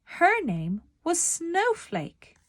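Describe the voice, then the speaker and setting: Slow-paced woman reading a children's story. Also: extremely high pitch, dry recording. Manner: deliberate, expressive storytelling. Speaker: read-aloud narrator. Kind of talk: children's storybook read-aloud.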